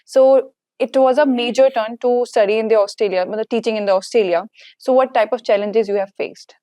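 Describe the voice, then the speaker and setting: A woman asks interview questions, a little hesitant and repeating words as she starts. Her voice is slightly high and mellow, recorded dry and close. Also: steady tone, brisk, no echo, muffled recording.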